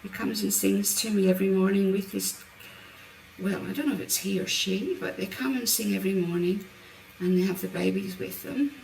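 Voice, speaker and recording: Woman with a croaky, gravelly voice. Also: medium pitch, moderate pace, darkish tone. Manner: chatty, narrates each step with fillers. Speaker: card-making host. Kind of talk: casual craft demonstration. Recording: roomy, mic at a distance, faint background noise. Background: birds outside.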